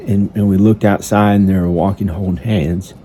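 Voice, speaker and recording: A man improvising a first-person story in a halting, simple, repetitive manner, with hesitant pauses. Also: sits low, fairly even intonation, warm tone. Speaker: amateur storyteller narrating own improvised story. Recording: noticeable background noise. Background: ambient sound effects.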